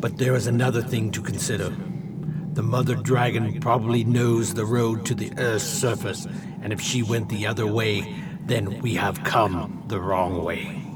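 Man playing a measured, courteous traveling companion. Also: lowish voice, steady tone, heavy background noise, natural voice. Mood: reasoning. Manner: measured, formal, thoughtful. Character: calm, reasoning, courteous adult male traveling companion. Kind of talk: radio theater acting.

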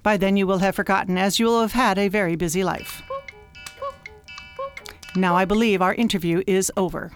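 A woman playing a wise alien mentor who talks like an exacting, gently scolding professor in a radio drama. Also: mid-range voice, fairly even intonation, moderate pace, long pauses, soft background music, close to an everyday voice. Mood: wise, serene. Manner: precise, schoolmistressy, gently scolding. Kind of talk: science fiction radio drama acting.

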